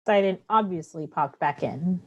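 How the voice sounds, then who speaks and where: A woman narrates a staged play reading, giving the scripted stage directions. Her voice sits slightly low for a woman. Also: normal speed, darkish tone, lo-fi sound.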